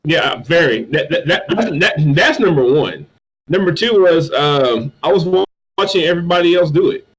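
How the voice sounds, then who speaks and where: A man's voice: fairly high pitch, lively intonation, normal speed, fairly bright tone, slightly rough, dull audio. A man from North Carolina, a guest in a casual interview, who often stammers and repeats his words. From North Carolina, USA. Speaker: guest, toy reviewer.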